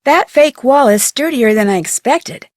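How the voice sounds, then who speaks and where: A young woman voicing an inquisitive, polite young detective in a video game, speaking scripted lines clearly and earnestly. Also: high-pitched, expressive, unhurried, no room sound, clean studio sound. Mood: thoughtful.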